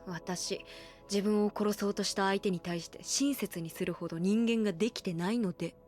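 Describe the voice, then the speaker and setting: A woman's voice: medium pitch, steady tone, moderate pace, darkish tone, faint music behind, natural voice. An actress playing a guarded, blunt young woman in an anime audio drama, speaking politely and a little hesitantly. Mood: blunt, resentful. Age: young adult.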